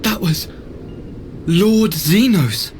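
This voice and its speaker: Scared lowly soldier in a video game, stammery and timid, pitch all over the place, bit of noise. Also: male voice, expressive, very slow, exaggerated voice. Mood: shaken. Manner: hesitant, stammering deference. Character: timid, nervous low-ranking male imperial soldier. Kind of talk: video game cutscene acting.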